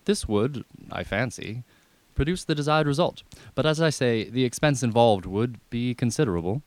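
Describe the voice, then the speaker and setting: A man portrays an unflappable, scheming valet in a radio comedy. He is deferential and formal, with measured, wordy phrasing at a middling pitch, recorded close and dry. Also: animated, darkish tone, no echo, close to an everyday voice. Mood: composed, explanatory.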